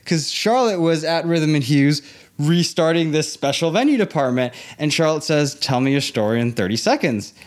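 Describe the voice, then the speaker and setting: A man's voice: on the high side, moderate pace. A man who grew up in Hong Kong, telling a casual story as an interview guest and acting out the dialogue. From born in Los Angeles, grew up in Hong Kong. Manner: animated retelling with quoted dialogue. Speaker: interview guest, theme park industry professional.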